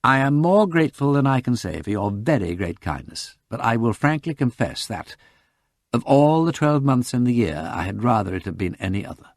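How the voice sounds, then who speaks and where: A man's voice: mid-range voice, animated, moderate pace, no room sound, clean studio sound, pitched above the performer's own voice, close to an everyday voice. A man voicing an earnest, polite English detective. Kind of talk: audiobook character voice.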